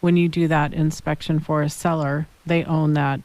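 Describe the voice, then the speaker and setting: A woman's voice: low voice, little pitch movement, moderate pace, a little mellow, no room sound. A woman co-hosting a real estate radio talk show, putting follow-up questions to a guest.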